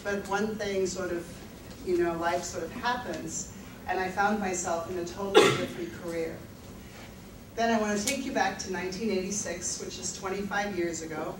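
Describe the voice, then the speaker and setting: A woman in her fifties from the Netherlands, a financial advisor telling her personal story to an audience at a university event, candid, laughing often and using many fillers. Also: on the low side. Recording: echoey room, mic at a distance, background noise.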